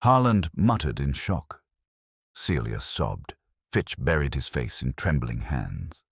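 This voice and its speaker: A man's voice: very deep voice, big pitch swings, slow, gravelly, slightly rough, dry recording, clean studio sound. A man reading a dramatic scripted detective story as an audiobook narrator.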